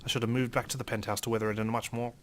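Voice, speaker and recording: A man reading a visual novel aloud as theatrical first-person narration, in character as an indignant gentleman. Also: medium pitch, flat delivery, very fast, a little hiss or hum. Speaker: let's player reading a game aloud.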